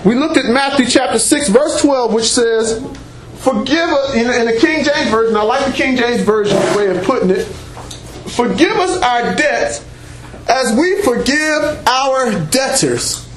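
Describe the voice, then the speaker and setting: A preacher with a strikingly high voice teaches a congregation without a microphone, loud and emphatic. He punctuates his points with call-and-response exclamations of praise. His unamplified voice rings around an echoey church room, with faint background hiss. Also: lively intonation, a little slow. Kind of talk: sermon-style teaching to a congregation. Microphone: unamplified voice picked up by a room mic.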